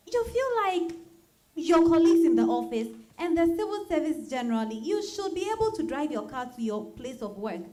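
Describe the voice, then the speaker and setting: A woman's voice: high-pitched, mellow. A woman playing an exasperated, sarcastic working mother, arguing emphatically with her husband in a dramatized novel reading. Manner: argumentative, emphatic.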